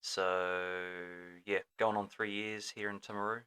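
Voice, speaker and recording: An easygoing New Zealand man is a guest on a relaxed podcast in a small studio. His voice is fairly low but bright and forward, and he talks in a chatty way, full of fillers. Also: unhurried, clear, resonant tone. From Auckland, New Zealand. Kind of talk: casual chat interview.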